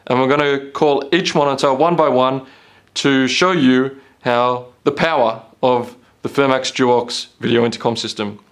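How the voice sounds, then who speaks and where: A man giving an instructional product demonstration as a company presenter. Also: medium pitch. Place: small indoor meeting room. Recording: clearly noisy room recording.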